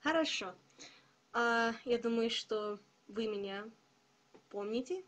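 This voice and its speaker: A young woman who is not a native speaker, talking to her viewers in a careful, hesitant way with many pauses. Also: a little high, a bit bright. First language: not Russian. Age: young adult. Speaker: vlogger. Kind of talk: casual video address to viewers.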